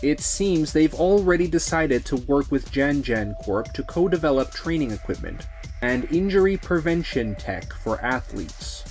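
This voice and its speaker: A man's voice: a little high, lively intonation, measured pace, darkish tone, faint music behind. A man playing an earnest, courteous businessman in a manga dub. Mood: grave, concerned. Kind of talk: manga dub character acting.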